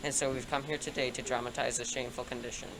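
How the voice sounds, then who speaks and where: A man's voice: mid-range voice, flat delivery, fast talker. A teenage male student reading a speech aloud in a noisy setting. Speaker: student reader.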